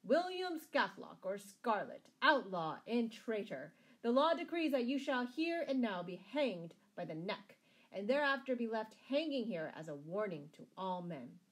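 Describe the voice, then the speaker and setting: A woman voicing a harsh, pompous male sheriff in a storybook, declaiming threats loudly. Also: high voice, expressive, normal speed. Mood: vengeful.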